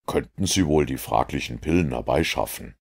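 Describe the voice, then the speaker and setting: A man voicing a keen, self-assured detective who explains his conclusions in a measured, commanding way. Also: a bass voice, expressive, gravelly, breathy edge, pitched below the performer's own voice, exaggerated voice. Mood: triumphant.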